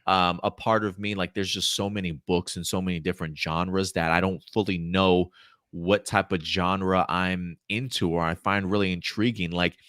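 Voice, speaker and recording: A man in his thirties with a deep-set voice hosts a casual live chat show. He rambles a little, hesitating and rephrasing as he thinks aloud. The sound is dry, with very little room in it. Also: fairly even intonation, moderate pace, a bit bright, no echo. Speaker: host of a live pop culture show. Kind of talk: casual chat, answering viewer questions.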